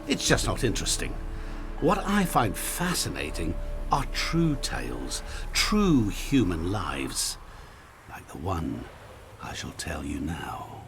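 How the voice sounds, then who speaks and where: A mysterious merchant of dark pacts in a video game. His voice sits fairly low and swings widely in pitch. He teases like a theatrical storyteller, with music playing behind him. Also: huge pitch swings, gravelly, full character voice. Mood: teasing, ominous. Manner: theatrical, teasing, deliberately paced storytelling. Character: sly, enigmatic male traveling merchant who makes dark pacts. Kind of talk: video game cutscene dialogue.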